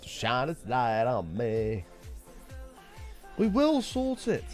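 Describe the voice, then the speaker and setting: A man streaming a game, chatting casually and wryly with his viewers while his voice is hoarse and sore. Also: mid-range voice, wildly swooping pitch, extremely slow, music in the background. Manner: bantering, wry, teasing chat members.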